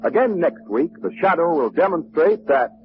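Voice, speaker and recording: A man's voice: fairly high pitch, animated, normal speed, soft background music. Old-time male radio announcer, muffled. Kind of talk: 1940s radio announcing, scripted.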